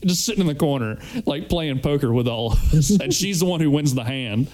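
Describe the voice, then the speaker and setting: A man's voice: on the high side, lively intonation, brisk, darkish tone, low-quality recording. A man in his thirties or forties telling anecdotes in casual podcast chat, in a jokey, chuckly manner. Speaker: podcast crew member telling stories.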